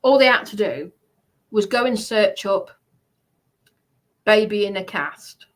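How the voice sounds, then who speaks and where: A woman's voice: mid-range voice, animated, takes it slowly. A woman chatting casually in a defensive way, often repeating herself.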